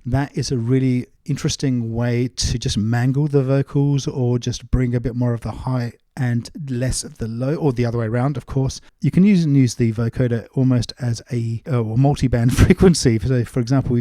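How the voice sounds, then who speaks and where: A man's voice: mid-range voice, steady tone, moderate pace, hardly any pauses, dark tone, no room sound, clean studio sound. A man giving a casual, step-by-step music production tutorial in an informal way. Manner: informal, explains step by step while demonstrating. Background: music loops and vocal samples.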